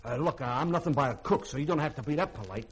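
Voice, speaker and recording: A man's voice: fairly high pitch, lively intonation, fast, a little hiss or hum, natural voice. An actor playing a folksy, wheedling male ship's cook who likes his drink, in a 1950s radio drama. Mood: sly, wheedling. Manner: folksy, hesitant, blustery.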